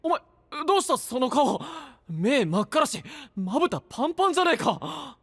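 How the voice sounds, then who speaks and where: An actor playing a frank, easygoing, brotherly young knight in an anime-style voice drama. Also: male voice, very high-pitched, normal speed, no echo, heavily acted. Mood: startled. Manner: casual masculine speech, relaxed and straightforward.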